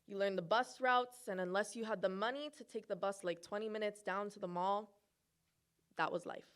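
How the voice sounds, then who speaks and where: A woman's voice: medium pitch, fairly even intonation, normal speed, a bit bright. A young woman from Hawaii performing a personal narrative at a recital, with playful asides and comic timing. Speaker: student presenter.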